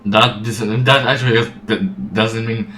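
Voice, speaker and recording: A man giving casual gameplay commentary in a halting, dryly sarcastic way. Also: lowish voice, steady tone, pushed and bright, echoey room, faint music behind. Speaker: game streamer.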